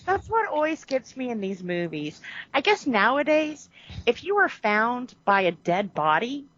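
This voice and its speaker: Lively woman laughing through a podcast. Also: on the high side, measured pace, dry recording. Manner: animated, laughs often, acts out characters' lines. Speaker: podcast co-host. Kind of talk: casual movie discussion. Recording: dull lo-fi podcast audio.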